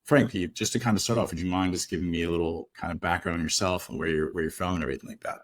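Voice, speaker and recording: A man hosting a podcast interview, asking gentle, hedging questions with many fillers. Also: sits low, fairly even intonation, rapid-fire, no room sound, clean studio sound. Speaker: podcast host.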